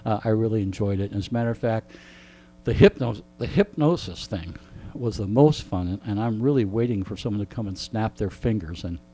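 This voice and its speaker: A man telling jokey anecdotes in conversation as a radio interviewee, with deadpan punchlines and repeated phrases for effect. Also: lowish voice, brisk, a little hiss or hum. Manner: jokey, deadpan storytelling with rhetorical repetition. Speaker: radio host being interviewed. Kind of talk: animated comic anecdotes in conversation.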